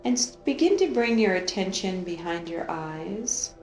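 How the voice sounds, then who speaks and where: A woman leading an unscripted guided meditation in a soothing, drawn-out way, gently repeating phrases. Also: on the low side, sing-song. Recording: roomy echo, faint music underneath.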